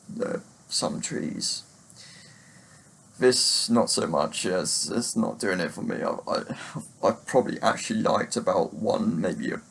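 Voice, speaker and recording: Man, lowish pitch, strong vocal fry, casual book vlogger, unscripted, hesitant, meandering delivery. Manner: hesitant, halting, rambling.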